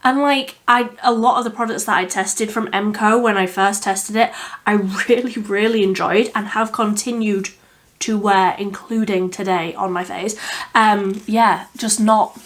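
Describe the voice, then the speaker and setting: Female vlogger, mildly croaky with a cold, candid casual product-review chatter. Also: mid-range voice, fairly even intonation, measured pace. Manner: chatty and candid, with frequent fillers. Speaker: beauty vlogger.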